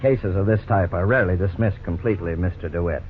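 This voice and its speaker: An actor playing a legal expert who explains a court case in a measured way, in a 1950s radio drama. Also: male voice, low-pitched, loud music behind the voice, lightly acted. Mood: explaining. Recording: tinny old radio broadcast, faint hiss.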